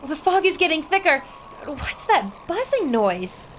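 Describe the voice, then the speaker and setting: A woman's voice: sits high, big pitch swings, unhurried, a little hiss or hum. A woman playing an intrepid, observant newspaper reporter in a dramatic 1930s-style radio adventure. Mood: alarmed, urgent. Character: intrepid female newspaper reporter aboard a plane, brave and observant.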